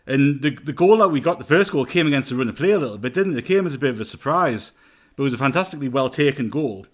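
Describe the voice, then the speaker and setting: A man's voice: medium pitch, lively intonation, fast. A man co-hosting a football podcast over a remote call, giving thoughtful, rambling analysis with plenty of fillers. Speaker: podcast co-host. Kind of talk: casual football podcast chat.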